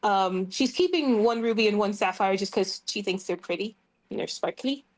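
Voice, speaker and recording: A woman's voice: mid-range voice, moderate pace. A woman playing a tabletop roleplaying game, casually describing what her character does. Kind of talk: casual improvised roleplay chat.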